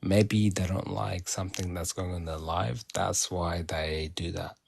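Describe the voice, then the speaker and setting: A man's voice: deep voice, steady tone, measured pace, vocal fry, husky, dry recording. A man from South Sudan chatting casually on a live audio stream, rambling and restarting his phrases.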